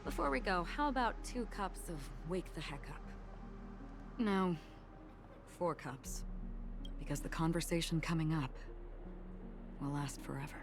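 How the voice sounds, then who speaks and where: Low, enigmatic swordswoman, music behind. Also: unhurried, pauses often. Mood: polite. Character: calm, enigmatic, melancholic female wandering swordswoman. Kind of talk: video game voice acting.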